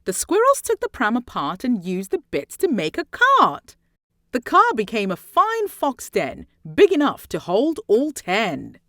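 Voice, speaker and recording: A woman reading a rhyming children's story aloud in an expressive, theatrical way with deliberate phrasing and dramatic emphasis. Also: a little high, dry recording, studio quality. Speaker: storybook reader.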